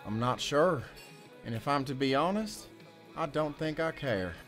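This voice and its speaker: A man playing a weary, soft-hearted ex-convict handyman in an audio drama, talking in a measured, folksy way with long pauses. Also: on the high side, expressive, soft background music. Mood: gently teasing.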